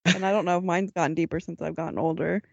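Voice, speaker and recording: A woman's voice: on the low side, fairly even intonation, fast talker, vocal fry, no room sound, muffled recording. A woman co-hosting a casual film chat, giving short, blunt reactions. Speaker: podcast co-host.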